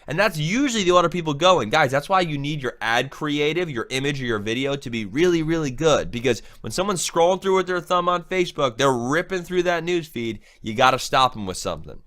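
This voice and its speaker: A young man with a bright, forward tone, walking through an online ad setup. His cold leaves him slightly nasal. He talks in a loose, chatty way and keeps checking in with "right?". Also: fairly high pitch, expressive, fairly quick, few pauses. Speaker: online marketing trainer. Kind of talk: screen-share tutorial.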